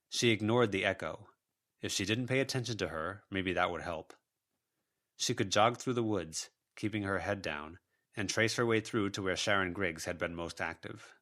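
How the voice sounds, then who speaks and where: A man's voice: deep voice, fairly bright tone. A man narrating a fiction audiobook from a written text. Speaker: audiobook narrator, volunteer reader.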